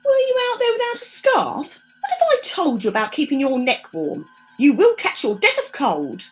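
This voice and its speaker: A woman's voice: high voice, wildly swooping pitch, normal speed, soft background music. A woman playing an overprotective, scolding mother in a comic radio play. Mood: fussing. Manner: scolding, mollycoddling. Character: fussing, overprotective, indignant mother.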